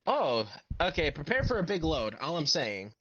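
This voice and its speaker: A man casually explaining role-play matters in a halting, self-correcting way. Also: fairly high pitch, wildly swooping pitch, a bit bright, dull audio. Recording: music playing behind the voice. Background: music.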